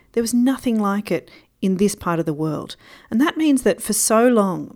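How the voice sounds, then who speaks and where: A woman's voice: mid-range voice, a little mellow. A woman hosting a podcast, explaining things informally and speaking straight to listeners between interview questions. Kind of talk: conversational narration and interview.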